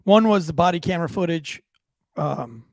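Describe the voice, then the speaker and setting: A man's voice: on the high side, animated, moderate pace, darkish tone, no room sound, studio quality. A man co-hosting a podcast, chatting loosely with jokes and swearing, and reading a sponsor ad with many restarts. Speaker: podcast co-host. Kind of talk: casual podcast banter and ad read.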